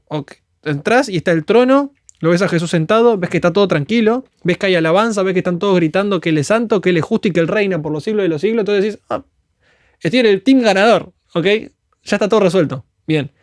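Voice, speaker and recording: A man's voice: a little high, no echo, studio quality. A man from Argentina with an Argentine Spanish accent, speaking earnestly on a podcast and acting out prayers as he preaches in a conversational way. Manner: earnest and fervent, acts out prayers as quotes. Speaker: guest speaker.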